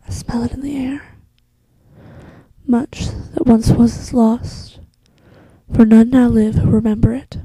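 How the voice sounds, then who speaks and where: A woman playing a solemn, wise elf queen who narrates a fantasy monologue in a hushed, deliberate way, with a soft voice that slips toward a whisper. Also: fairly high pitch, breathy edge, dry recording, heavily acted, rough recording. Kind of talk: slow dramatic fantasy monologue.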